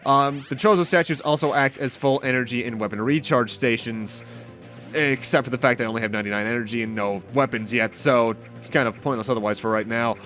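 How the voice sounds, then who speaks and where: A man's voice: medium pitch, fairly quick, fairly bright tone. A man giving let's play commentary as host, rambling through explanations with dry asides. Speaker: gameplay host. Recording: game music under the voice. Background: video game music.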